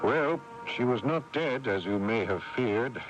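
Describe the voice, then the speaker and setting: A man playing a weary, responsible brother in a radio drama, measured and reflective, exasperated with his family. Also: expressive, dull audio, loud music behind the voice, close to an everyday voice. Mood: brooding. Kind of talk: radio drama acting with first-person narration. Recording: noisy rumbling AM radio recording.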